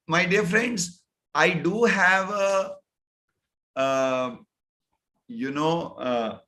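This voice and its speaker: A man with a slightly husky voice, leading a guided meditation in a soft, gentle way over a video call. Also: fairly high pitch. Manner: soft and slow, drawn-out pauses. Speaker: meditation trainer.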